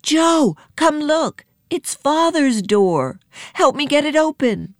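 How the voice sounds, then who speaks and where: A woman's voice: high voice, big pitch swings, slow, dry recording, clean studio sound, voice pushed up, full character voice. A woman voicing an earnest, determined young girl in a storybook, speaking eagerly and directly. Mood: excited, urgent.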